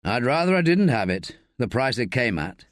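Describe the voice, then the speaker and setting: A man's voice: mid-range voice, big pitch swings, normal speed, no room sound, clean studio sound, lightly acted. A man voicing a guarded, wry male detective in a narrated story.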